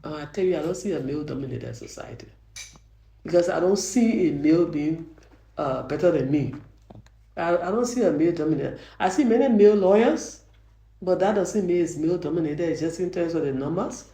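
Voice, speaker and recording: An older woman with a Liberian accent and a soft voice, a judge answering interview questions earnestly, often restarting her sentences. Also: lowish voice, lively intonation, fairly quick. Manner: earnest, frequent restarts, grows emphatic. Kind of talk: interview answers, reflective storytelling. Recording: roomy echo.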